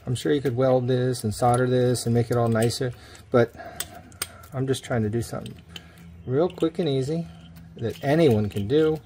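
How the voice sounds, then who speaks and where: A man's voice: mid-range voice, steady tone, moderate pace, dark tone, slight background noise. A man giving a casual hands-on how-to, thinking aloud informally as he works with tools on camera. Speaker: DIY video maker demonstrating a build.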